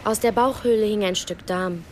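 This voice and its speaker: An actress playing a poor, unsentimental beach child telling a story plainly in a radio play. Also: female voice, mid-range voice, moderate pace, heavy background noise, close to an everyday voice. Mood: matter-of-fact. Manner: matter-of-fact storytelling. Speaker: actor. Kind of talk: first-person radio play narration.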